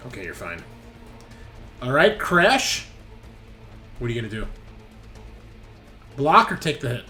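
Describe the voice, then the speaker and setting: A man's voice: mid-range voice, wildly swooping pitch, measured pace, sharp tone, soft background music. A man working as game master in a tabletop roleplaying game, casually explaining rules and narrating the action step by step in an informal way.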